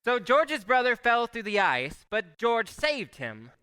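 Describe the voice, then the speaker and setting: A man's voice: very high voice, big pitch swings, slow, sharp tone, clean tone, full character voice. A man playing an eager, polite and innocent apprentice angel in a radio play, with a fussy, earnest manner. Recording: echoey live hall taping.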